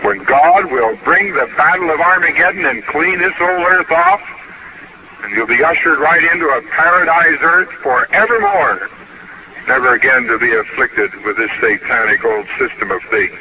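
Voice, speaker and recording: A man preaches with emphatic, exhorting delivery. His voice sits at an ordinary male pitch. The old recording is dull and lo-fi, buried in heavy noise. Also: animated, moderate pace. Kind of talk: exhortatory sermon. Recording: muffled, very noisy archival tape.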